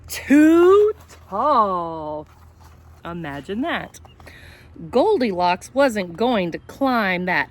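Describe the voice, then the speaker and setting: Female storytime host, lively pitch swings, unhurried pace, playful asides to young listeners. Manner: addresses children directly, playful and dramatic. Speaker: storytime host reading a picture book. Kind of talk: animated read-aloud for children with chatty asides.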